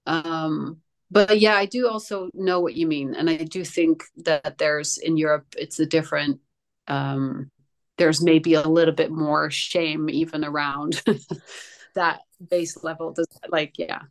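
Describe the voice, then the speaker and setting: A woman's voice: low voice, fairly even intonation, measured pace. A woman from the Netherlands, a native Dutch speaker, talking reflectively and hesitantly in casual conversation, searching for words.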